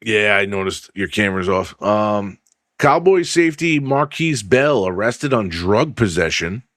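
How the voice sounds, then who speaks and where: Low-voiced, profane podcast host. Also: male voice, lively intonation, measured pace, a bit bright, no room sound, studio quality. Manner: profane, rambling, reads news aloud with asides. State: losing his voice. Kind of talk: casual sports podcast chat.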